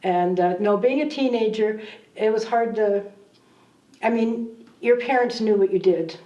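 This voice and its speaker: A woman's voice: medium pitch, a little slow, mellow. A woman in her seventies chatting fondly about growing up in a small town, full of asides. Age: 70s. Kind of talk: documentary interview. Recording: dull, roomy sound from a distant mic.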